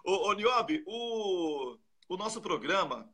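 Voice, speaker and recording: A man's voice: sits high, big pitch swings, slow, pushed and bright, husky. A man hosting a casual political talk show in an informal, joking, conversational way. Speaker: host.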